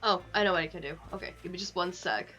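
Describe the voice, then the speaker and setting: Bright-voiced woman streaming a game. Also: fairly low pitch, quick pace. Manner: sweary, playful, talking to game characters. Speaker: livestreamer playing a video game. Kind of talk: casual gaming livestream chatter.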